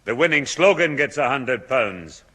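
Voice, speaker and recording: A man playing a grumbling, excitable old grandfather in a 1960s radio sitcom, with a husky voice. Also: medium pitch, a little slow, heavily acted. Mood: sceptical, blustering. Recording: reverberant old radio recording.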